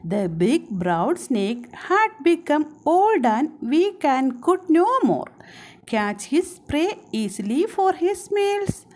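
A woman telling a story to young children as a trainee teacher, in a deliberate, expressive manner. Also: high voice.

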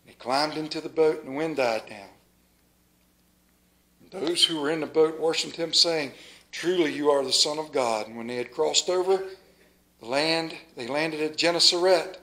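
Animated high-voiced pastor preaching. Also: male voice, rough recording. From United States. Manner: animated, moves about the pulpit, dramatic pauses. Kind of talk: sermon with scripture reading. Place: small church sanctuary. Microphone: stand mic at pulpit, far camera.

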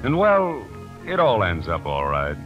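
An actor playing a folksy, wistful small-town storyteller narrating a 1940s radio drama. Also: male voice, fairly low pitch, huge pitch swings, a little slow. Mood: gently wistful. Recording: dull old 1940s radio broadcast. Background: music.

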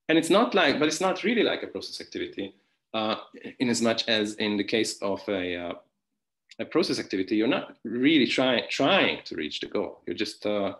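A man, speaking in a fairly low voice as he gives a philosophy talk over a video call. He is lively and digressive, often restarting sentences and filling pauses with "um" and "uh". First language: Hungarian. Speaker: philosophy lecturer. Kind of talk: academic conference talk.

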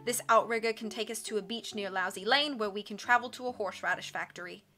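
A woman voicing a calm, secretive old male island leader in a storybook, measured and smoothly evasive. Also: medium pitch. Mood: secretive, reassuring.

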